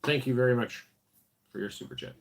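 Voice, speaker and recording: A tired man hosting a casual homestead livestream, reading viewer comments aloud and answering in a relaxed way with fillers. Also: mid-range voice, a little fast.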